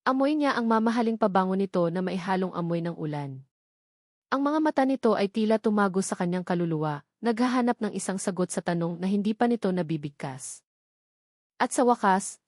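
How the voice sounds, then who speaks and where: A woman's voice: medium pitch, a little mellow, no room sound. Woman telling a romance story, dry and close. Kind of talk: scripted dramatic narration.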